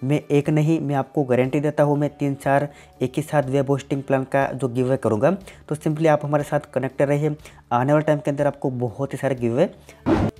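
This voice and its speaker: A man, answers viewer questions on his tech channel in a plain, explanatory way, leaning on "definitely" and "simply". His voice is warm and mellow. Faint music plays underneath. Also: medium pitch, fairly even intonation, fairly quick. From Gujarat, India. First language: Gujarati.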